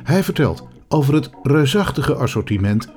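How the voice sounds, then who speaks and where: A man's voice: mid-range voice, very animated, moderate pace, darkish tone. A man reading scripted narration for a documentary podcast as its narrator.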